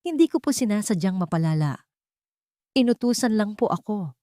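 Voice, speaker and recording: A woman's voice: mid-range voice, sing-song, moderate pace, mellow, no room sound, clean studio sound, voice pushed up, natural voice. A woman voicing a nervous, deferential elderly male maintenance worker who stammers and pleads in an audio drama. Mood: tearful pleading.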